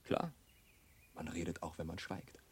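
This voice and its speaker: A man's voice: low voice, little pitch movement, measured pace, slightly rough, full character voice. A man playing a nosy, cocky young monk scholar in a German radio drama, with an insinuating, passionate manner. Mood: sly, insinuating. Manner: insinuating, then swelling into indignation.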